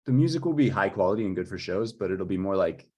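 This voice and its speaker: A man with a low, husky voice talks casually about his work. He thinks aloud, tentatively feeling his way through each idea. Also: expressive, quick pace, a little mellow. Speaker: composer. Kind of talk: informal chat about own work.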